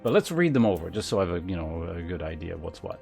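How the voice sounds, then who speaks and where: A man livestreaming a video game, chatting casually and reading aloud, thinking out loud in a rambling way with wry asides. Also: low voice, sing-song, fast, creaky. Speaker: game streamer. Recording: music behind the voice.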